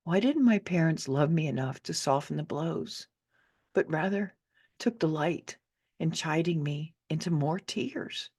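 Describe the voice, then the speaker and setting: A woman's voice: low voice, expressive, a little slow, a little mellow, breathy edge. A woman in her fifties reading her own memoir as an audiobook, with theatrical, emotive and emphatic delivery. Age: 50s. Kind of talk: dramatic first-person audiobook narration.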